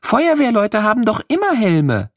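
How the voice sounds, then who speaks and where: A man's voice: high-pitched, huge pitch swings, moderate pace, no echo, studio quality, voice pushed up, heavily acted. A man voicing a curious, puzzled small child in a children's storybook. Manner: puzzled, eager. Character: curious small kindergarten child asking questions.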